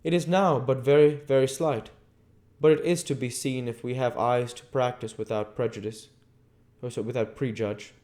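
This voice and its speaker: Man putting on an earnest old professor, pitched up. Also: fairly even intonation, darkish tone, natural voice. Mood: grave, urgent. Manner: halting, formal, broken-English phrasing. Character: earnest old foreign professor, grave and sorrowful.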